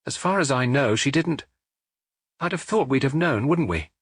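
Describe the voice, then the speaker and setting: A man voicing a blunt, unsentimental middle-aged woman who runs a hair salon, speaking in a flat, matter-of-fact way. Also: medium pitch, moderate pace, slightly rough, no echo, studio quality, pitched above the performer's own voice.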